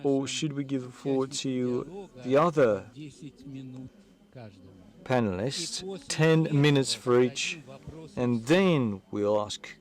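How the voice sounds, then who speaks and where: Guy moderating a panel, talks slow, kinda unsure of himself, lots of uhs. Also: mid-range voice. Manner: hesitant, unsure, filler-heavy. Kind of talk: introducing panelists.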